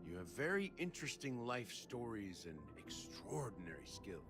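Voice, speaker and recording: An actor playing a dignified older man in a video game, speaking in a solemn, measured, formal way. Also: fairly low pitch, sing-song, a bit bright, faint music behind. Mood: formal, gracious. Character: dignified older man honouring a late friend. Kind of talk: scripted video game voice acting.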